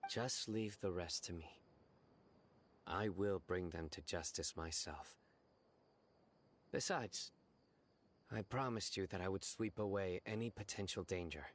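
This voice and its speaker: A man playing a stern, composed young lawman in a video game, speaking in a grave, measured, formal way. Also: deep voice. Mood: calm, determined. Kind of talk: scripted video game acting.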